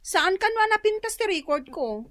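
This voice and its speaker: High, plaintive young wife. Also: female voice, very animated, moderate pace, fairly bright tone, no echo, clean studio sound, full character voice. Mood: upset, plaintive. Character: disappointed, aggrieved younger wife. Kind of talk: comedic radio drama acting.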